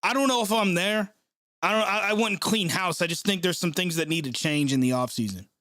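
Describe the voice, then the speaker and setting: A man's voice: high voice, brisk, bright, forceful tone, no echo. A young man hosting a live sports reaction stream, stuffed up from a cold, reading chat and venting with sarcasm and swearing. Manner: frustrated, sarcastic, swears often.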